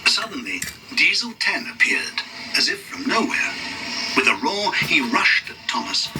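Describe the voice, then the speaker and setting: A man's voice: fairly high pitch, very animated, a little slow, recorded from a distance, loud music behind the voice, low-quality recording. A man narrating a scripted children's read-along story.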